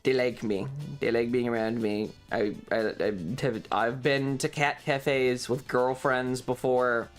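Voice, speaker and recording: A man talks like a streamer chatting to viewers, telling a story in loose, halting pieces. His delivery is wry and informal, with some swearing. Music plays faintly behind his voice. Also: medium pitch, flat delivery. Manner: frequent throat clearing. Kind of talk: casual rambling storytelling on a stream.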